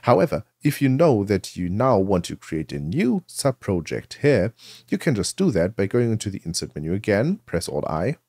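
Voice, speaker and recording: A man presenting a software tutorial, explaining things step by step into a close microphone. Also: fairly low pitch, big pitch swings, moderate pace, dry recording.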